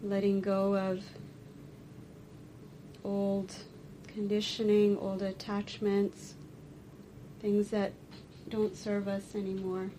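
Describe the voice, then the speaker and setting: Very slow, soft-toned woman leading meditation. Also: mid-range voice, completely flat delivery, slight background noise. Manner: slow, deliberate, pausing between short phrases. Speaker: meditation teacher. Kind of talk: guided meditation and dharma talk.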